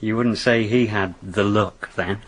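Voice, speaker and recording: Husky young working-class lover. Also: male voice, fairly low pitch, fairly even intonation, a little slow, a bit bright, poor audio. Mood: curious, amused. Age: young adult. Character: eighteen-year-old working-class lover, jealous, unsure, plain-spoken. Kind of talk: period radio drama acting.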